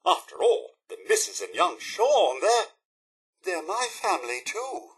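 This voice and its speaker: Fussy british robot butler for a game, super animated n bright, bit high, very prim and polite. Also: male voice, measured pace, husky, exaggerated voice. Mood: chatty, wistful. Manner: formal, servile, effusively polite. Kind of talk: video game character voice acting.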